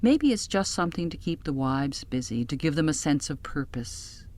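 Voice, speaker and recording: Low-voiced woman narrating a literary audiobook. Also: animated, normal speed, dark tone. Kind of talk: scripted literary narration in first person.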